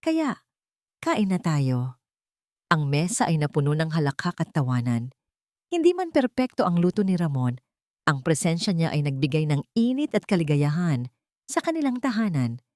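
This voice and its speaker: A woman with a low voice narrates a dramatic story. Her pitch moves expressively through short, deliberate phrases, earnest and sentimental. The recording is dry and close, with no room sound. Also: very animated, warm tone, dry recording.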